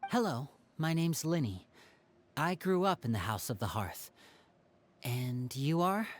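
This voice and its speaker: Lively, low-voiced teenage boy. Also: a little slow, a little hiss or hum. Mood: polite. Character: confident teasing teenage boy, caring older brother. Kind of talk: video game voice acting.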